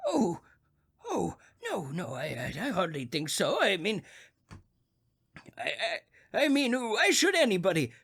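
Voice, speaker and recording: Someone playing a fretful, crotchety old man, swooping pitch. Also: wildly swooping pitch, a little slow, creaky, pauses often, fairly bright tone, breathy edge, exaggerated voice. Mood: shaken. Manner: fretful, stammering, insistent. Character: crotchety, nervous old male invalid on the telephone. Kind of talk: old-time radio suspense acting.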